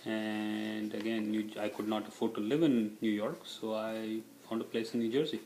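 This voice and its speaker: A man's voice: lowish voice, flat delivery, normal speed, a little mellow, reverberant, a little hiss or hum, low-quality recording. A middle-aged man from India, a native Tamil speaker with an Indian accent, answering interview questions in a casual, reflective way.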